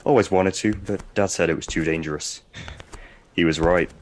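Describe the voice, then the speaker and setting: Man playing a deep-voiced, dry prison warden. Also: normal speed, close to an everyday voice. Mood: explaining. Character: gruff, sceptical male prison warden in 1950s America. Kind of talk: audio drama acting.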